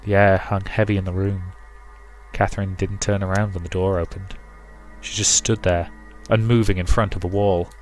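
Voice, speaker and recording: A man reading a visual novel aloud as dramatic narration for a let's play. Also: low-pitched. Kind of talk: dramatic storybook-style narration with commentary.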